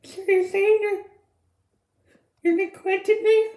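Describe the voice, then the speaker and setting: A woman playing an excitable, bratty and bubbly teenage schoolgirl in an amateur audio roleplay. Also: very high voice, takes it slowly. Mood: shocked, hurt. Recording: roomy, echoing home recording.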